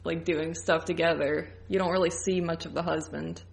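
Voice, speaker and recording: Low, creaky-voiced woman on a podcast. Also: fairly even intonation, moderate pace, low-quality recording. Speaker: podcast co-host. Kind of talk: casual film review chat.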